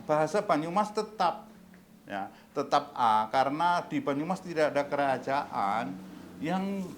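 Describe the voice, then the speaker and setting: Male interviewee, very slow deliberate pacing, hesitant fillers, faint background hum. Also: medium pitch. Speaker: interviewee, cultural figure. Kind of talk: documentary interview.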